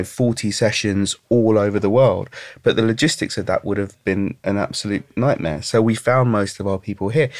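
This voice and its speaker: A man talks hesitantly, trying a phrase, stopping and trying it again. His voice sits fairly low. The recording is dry and close. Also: dry recording. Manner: hesitant, many fillers and restarts. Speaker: artist and dialogue director. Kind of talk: casual podcast chat.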